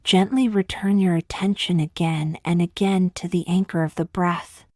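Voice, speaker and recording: A woman with a slightly low voice leads a guided meditation. Her phrasing is gentle, slow and measured, with long pauses between instructions. The sound is dry and close to the mic. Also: steady tone, no room sound.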